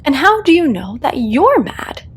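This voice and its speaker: A woman's voice: high-pitched, very animated, measured pace, voice pushed up. A woman voicing a polite, earnest, curious storybook girl who speaks in a prim, questioning way. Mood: puzzled.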